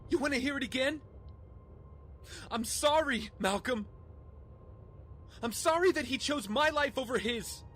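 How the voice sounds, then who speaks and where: A man's voice: extremely high pitch, measured pace, long pauses, music in the background. An actor playing an earnest, guilt-ridden young male hero in an audio drama, pleading emphatically. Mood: impassioned, pleading.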